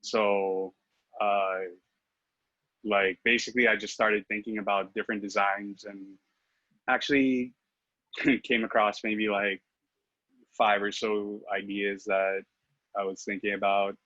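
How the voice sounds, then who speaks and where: A man in his thirties talks as a podcast guest, full of fillers and restarts. His voice is bright and fairly low, with a flat, even delivery. The audio is dry and slightly muffled. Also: measured pace, pauses often, dry recording. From Philippines, raised in Los Angeles. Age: 30s. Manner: hesitant, many fillers and restarts. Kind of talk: casual interview chat.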